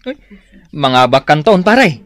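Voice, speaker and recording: A man's voice: on the high side, big pitch swings, slow pace, fairly bright tone, exaggerated voice. A man playing a chummy gambling buddy who eggs on his pal, with an Ilocano accent, in a comedic radio drama. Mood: eager.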